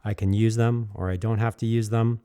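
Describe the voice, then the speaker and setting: A man's voice: fairly low pitch, fairly even intonation, a little fast, dark tone, clean tone. A man narrating his own work in an easygoing, rambling way, candid and enthusiastic, with many fillers and restarts. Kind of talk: casual reflective voice-over.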